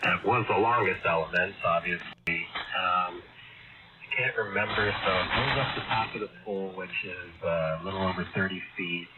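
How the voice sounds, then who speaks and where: A man with a very deep voice talks over amateur radio in a casual, halting way. The signal is muffled and narrow, with heavy static throughout. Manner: hesitant, halting. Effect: heard over shortwave ham radio. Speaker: amateur radio operator, visiting caller. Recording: muffled shortwave radio link, loud static.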